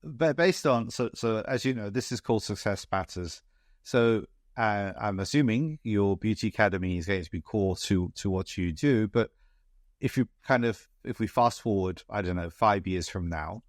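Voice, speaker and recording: A man hosting an interview show, asking measured and encouraging questions. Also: fairly low pitch, dry recording, studio quality. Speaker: podcast host.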